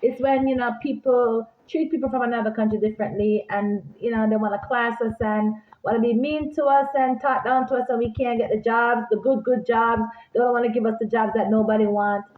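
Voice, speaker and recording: A woman with a Jamaican accent, rambling in a casual vlog, animated and repeating herself as she talks straight to her viewers. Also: fairly high pitch, a little fast, hardly any pauses. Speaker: vlogger. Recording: echoey room, phone-like sound.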